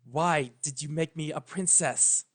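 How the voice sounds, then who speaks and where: A man's voice: on the high side, a little slow, a bit bright. A man voicing a grieving, troubled female alicorn princess in a fan fiction reading, subdued and pointed in questioning. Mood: bitter, pleading.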